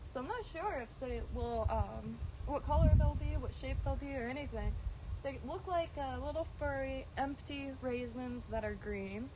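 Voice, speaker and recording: A woman's voice: sits high, moderate pace, noisy background. A woman from Florida, chatting loosely in a garden on a live stream, full of ums and restarts and the odd swear. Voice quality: droning at times. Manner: meandering, many ums and restarts, casual swearing. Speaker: plant vlogger streaming live. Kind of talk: casual rambling livestream chat. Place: outdoors in a garden.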